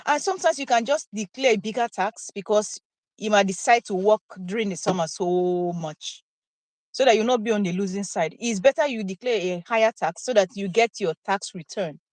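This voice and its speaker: A woman's voice: medium pitch, moderate pace, a little mellow, dry recording. A young woman, a recent nursing graduate, chatting casually and explaining things with examples and lots of fillers. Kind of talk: casual conversational Q&A.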